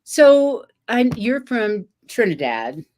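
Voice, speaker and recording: A woman hosting a casual livestream chat, talking at an unhurried pace with frequent fillers and soft laughs. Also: a little high, sing-song. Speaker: host.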